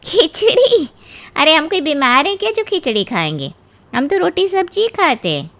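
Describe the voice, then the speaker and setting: Woman as bossy mother-in-law, sharp bright tone, very animated pitch, scolding, indignant, cartoon storytelling. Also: moderate pace, heavily acted. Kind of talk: exaggerated cartoon story acting.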